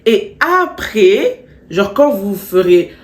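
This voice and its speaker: A woman's voice: medium pitch, expressive, slow pace, a little mellow, reverberant, a little hiss or hum. A young woman vlogging in a casual, slangy way, a bit stuffy from a cold, repeating words for emphasis as she gives advice. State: stuffy, still has a cold. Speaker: beauty vlogger. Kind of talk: chatty storytime vlog with advice.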